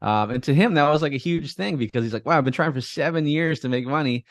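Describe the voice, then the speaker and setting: A man talking casually in an interview, relaxed and rambling, with many fillers and restarts. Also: fairly high pitch, fast, no room sound. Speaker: guest, affiliate marketer.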